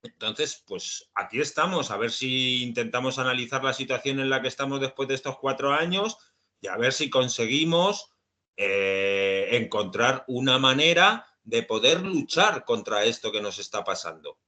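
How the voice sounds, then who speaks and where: A man's voice: mid-range voice, lively intonation, moderate pace, pushed and bright. A man talking as a podcast guest, hesitant and full of fillers as he explains his views. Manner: hesitant, many fillers and restarts. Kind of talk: casual podcast conversation.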